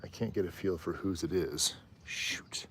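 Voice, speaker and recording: A man with a low voice hosting a live stream outdoors on a rooftop, chatting in a rambling, easygoing way. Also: unhurried, fairly bright tone, slight background noise. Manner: rambling, easygoing, often trails off and laughs. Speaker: livestream host. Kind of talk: casual live commentary.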